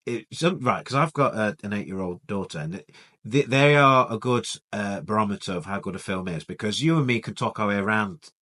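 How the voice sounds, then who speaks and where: Guy in his 30s cohosting a movie podcast, lowish voice, rambly and laid back. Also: fairly quick. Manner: relaxed, rambling, trails off with fillers. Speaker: podcast co-host. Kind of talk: casual chat.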